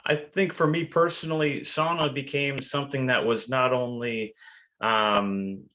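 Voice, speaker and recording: A man's voice: mid-range voice, steady tone, a little slow, pushed and bright. A man chatting as a podcast guest in a friendly, self-deprecating way, his talk sprinkled with fillers. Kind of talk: casual podcast chat.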